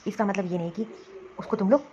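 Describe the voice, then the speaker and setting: A woman's voice: medium pitch, quick pace, muffled recording, noisy background, lightly acted. A woman voicing a protective adult son and husband in a cartoon family drama, reproachful and insistent. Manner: remonstrating, insistent.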